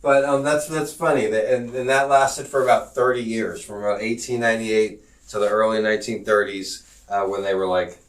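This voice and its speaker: A man's voice: on the low side, steady tone, normal speed, few pauses. Rambling male teacher, mic at a distance. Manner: rambling, many fillers and restarts, joking asides. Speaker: online geography teacher. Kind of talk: live-streamed class lecture.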